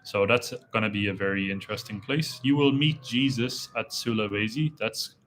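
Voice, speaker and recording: A young man with a slight Irish accent, chatting casually on a live stream and reading viewer comments aloud in a relaxed, rambling way. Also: on the low side, moderate pace. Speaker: travel vlogger hosting a live Q&A. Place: indoors in a dim room.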